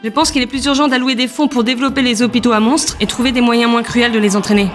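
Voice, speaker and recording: Woman acting an earnest, fast-talking council representative. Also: fairly high pitch, steady tone, lightly acted. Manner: earnest, articulate, insistent. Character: earnest, indignant woman council representative, passionate about monsters. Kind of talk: audio drama acting. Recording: music bed behind the voice.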